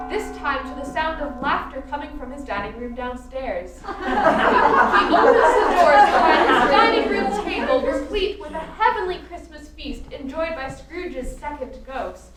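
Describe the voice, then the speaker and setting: A woman's voice: high voice, expressive, a little slow, recorded from a distance, loud music behind the voice, over a noisy crowd. A woman narrating a radio play with a theatrical storytelling delivery. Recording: echoey room from afar, faint noise.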